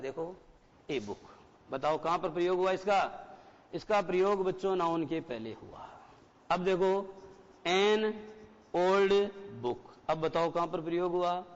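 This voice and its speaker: A man in his forties teaching a class, who explains step by step and keeps asking the students questions. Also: high-pitched. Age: 40s. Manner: mixes Hindi and English. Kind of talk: classroom lecture. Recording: dull broadcast audio with long reverb.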